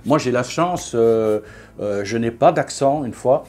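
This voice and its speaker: A man's voice: medium pitch, measured pace, darkish tone. Man, fifties, casual vlog talk, frequent fillers. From Belgium. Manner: chatty, hesitant with frequent fillers and restarts. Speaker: vlogger.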